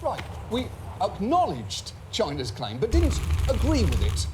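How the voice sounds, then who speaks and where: A man's voice: sits high, huge pitch swings, a little slow, noisy background. A man hosting a satirical comedy monologue, delivering mocking, sarcastic asides. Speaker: comedy show host.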